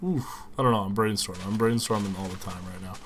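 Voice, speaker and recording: A relaxed young man with a fairly low voice talks his way through a game session, thinking out loud and calling his viewers guys. His voice is slightly stuffy from a cold. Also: fast, slight background noise. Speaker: gaming video host. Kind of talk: casual game commentary.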